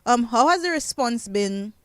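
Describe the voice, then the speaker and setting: A woman's voice: a little high, expressive, moderate pace, dry recording. Dominican woman hosting, dry studio. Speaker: radio host. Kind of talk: casual radio interview. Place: radio studio.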